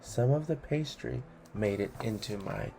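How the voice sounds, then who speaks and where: A man reading funny children's rhymes aloud in a deliberate, theatrical storytelling way. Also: medium pitch, creaky, warm tone, faint music behind. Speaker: read-along host.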